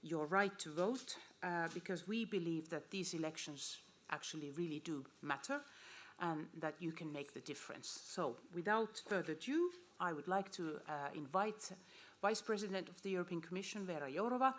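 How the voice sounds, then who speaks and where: Woman, slightly low pitch, event host, informal hesitant welcome speech. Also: lively intonation, moderate pace, a little hiss or hum. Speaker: event host, European Commission staffer. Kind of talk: welcome speech introducing a speaker.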